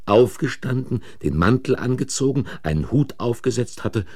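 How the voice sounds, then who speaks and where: An actor playing a shaken but determined office man turned accomplice in a German radio crime play, speaking in brooding, deliberate phrases. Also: medium pitch, animated, darkish tone, lightly acted. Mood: brooding, tense. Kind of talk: German radio crime play acting and first-person narration. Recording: noisy vintage radio play recording.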